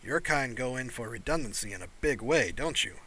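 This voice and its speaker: A man voicing a small, furry male alien pilot, wry and curious, with a baritone voice, in an audiobook. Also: animated, a little fast, fairly bright tone, slightly rough. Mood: enthusiastic, curious. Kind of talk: audiobook character voice.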